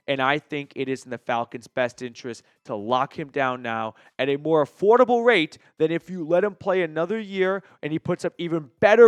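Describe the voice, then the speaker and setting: A man's voice: a little high, clear, resonant tone, no echo. An American man hosting a sports show, giving opinionated, emphatic commentary point by point in a conversational style aimed at viewers.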